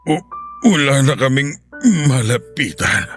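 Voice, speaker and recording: Feeble, halting old husband, low-voiced. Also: male voice, animated, fairly bright tone, faint music behind. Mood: feeble, apologetic. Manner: halting, stammering. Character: weak old cheating husband after a stroke, apologetic. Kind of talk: Filipino radio drama acting.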